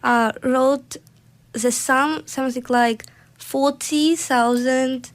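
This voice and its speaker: A young woman from Russia, co-hosting a live radio chat in a studio, halting as she searches for words. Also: high-pitched, fairly even intonation. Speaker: radio co-host. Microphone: studio microphone.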